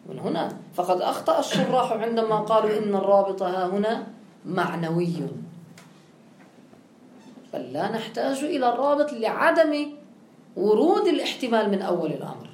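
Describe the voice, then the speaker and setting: A woman's voice: mid-range voice, animated, a little fast, pauses often, darkish tone, slight background noise. A woman teaching grammar with a touch, explaining step by step and posing her own questions. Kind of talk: classical grammar lesson. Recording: echoey room with faint ambience.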